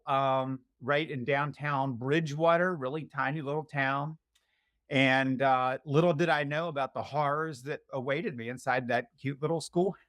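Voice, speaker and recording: A man's voice: a little high, a bit bright, no room sound. A middle-aged man from Virginia's Shenandoah Valley, chatting as an interview guest in a rambling, self-deprecating, joking way. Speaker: guest author. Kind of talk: casual interview chat.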